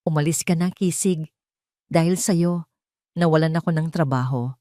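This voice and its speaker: A woman's voice: sits low, moderate pace, dark tone, no echo, clean studio sound, lightly acted. A woman doing a bitter, cold-shouldering man. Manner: cold and resentful. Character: bitter man turning away a former boss.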